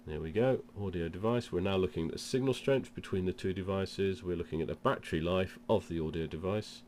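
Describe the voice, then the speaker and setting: A man with a very deep voice and a cold gives an informal how-to demonstration. He explains each step as he goes, and there is clear noise in the recording. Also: a little fast, darkish tone. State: has a cold. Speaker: presenter of product demonstration. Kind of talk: informal instructional demo.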